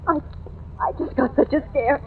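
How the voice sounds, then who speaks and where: Actress as a very high-voiced, frightened housewife. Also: female voice, normal speed, lightly acted. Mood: nervous, wary. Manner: nervous, hesitant, stammering. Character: frightened, suspicious, jittery married woman living in an apartment. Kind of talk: old-time radio thriller acting. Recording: dull lo-fi old radio recording.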